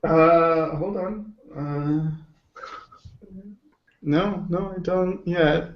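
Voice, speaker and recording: Slow-talking man in a roomy space. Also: fairly high pitch, steady tone. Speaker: stream host. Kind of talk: casual live stream chat. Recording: roomy, slightly dull stream audio.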